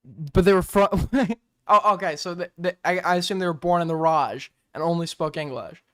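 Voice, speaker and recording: A male streamer host with a high, smooth, resonant voice, recorded dry and close. He argues insistently, stacks repeated words and talks over the other speaker. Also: moderate pace, a bit bright, no echo. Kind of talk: heated casual debate.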